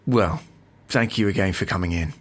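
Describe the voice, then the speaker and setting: An actor playing a calm, cautious investigating agent in an audio drama, measured and understated. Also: male voice, sits low. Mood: calm, guarded. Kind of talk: naturalistic audio drama acting.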